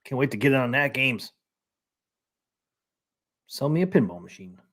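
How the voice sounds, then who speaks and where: A man's voice: medium pitch, animated, unhurried, fairly bright tone. A man hosting a casual live stream, chatting and reading out viewer comments. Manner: easygoing, reads out viewer comments.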